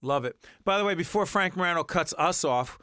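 A man hosting a radio talk show in a casual, energetic, jokey way, congested from a cold. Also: high-pitched, brisk, slight background noise. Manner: energetic, jokey, talks over co-host. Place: radio studio. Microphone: studio microphone.

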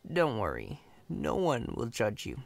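A man's voice: mid-range voice, wildly swooping pitch, unhurried, creaky, no echo, full character voice. A man playing a chatty, cocky, friendly company employee in an ASMR roleplay, casual, reassuring and playfully boastful. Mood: easygoing, friendly.

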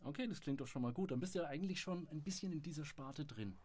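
A man's voice: fairly high pitch, quick pace, darkish tone, slightly rough. Man hosting a podcast interview. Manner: friendly, laughs often. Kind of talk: casual interview questions.

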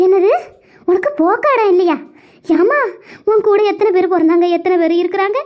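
A woman's voice: very high-pitched, brisk. A woman playing an indignant married daughter lamenting over her wronged mother in a village family drama. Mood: indignant, wailing. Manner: scolding, lamenting, exclaiming. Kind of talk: melodramatic Tamil village family skit. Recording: dull, muffled lo-fi audio.

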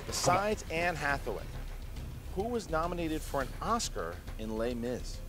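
Brisk game show host, man, slightly high voice, music bed under replayed show audio. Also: sing-song, a bit bright. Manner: brisk, reading questions, announcing scores.

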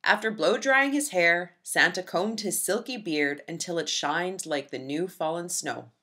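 A woman reading a children's storybook aloud with expressive, dramatic emphasis. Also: on the low side, a little slow. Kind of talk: expressive scripted read-aloud narration for young children.

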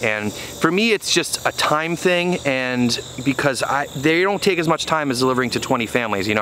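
A man's voice: medium pitch, a bit bright, a little hiss or hum. Thirties male farmer vlogger, casual to-camera talk, plenty of "you know", faint field ambience. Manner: earnest, conversational, many "you know" fillers. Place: outdoors on a small farm field. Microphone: camera mic at arm's length, then on a tripod.

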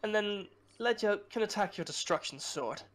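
A man's voice: high voice, expressive, moderate pace, a bit bright. A young man announcing his moves as a player in a card-game roleplay.

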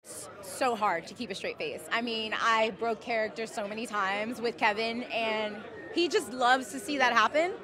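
A woman's voice: a little high, moderate pace, hardly any pauses. A woman in her thirties, an actress, gushing in an outdoor red carpet interview into a handheld mic. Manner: bubbly, gushing. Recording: crowd chatter behind.

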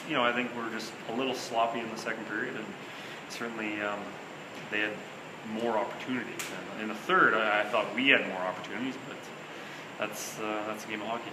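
Low-voiced coach answering reporters. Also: male voice, steady tone. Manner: measured, hesitant, many fillers and restarts. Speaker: hockey head coach. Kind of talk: postgame press conference. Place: small interview room before a sponsor backdrop. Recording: echoey room with loud air-conditioning hum.

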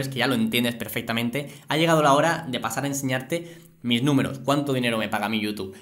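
A young man with an accent from Spain presents an online explainer. His voice sits at a middle pitch, and he stresses key words as he walks the viewer through things step by step. It is recorded indoors in a small home studio. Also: fairly bright tone. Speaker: YouTuber presenting a finance channel. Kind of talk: explainer to camera.

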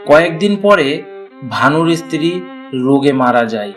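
A man's voice: a little high, sing-song, moderate pace, loud music behind the voice. A man narrating a scripted cartoon story.

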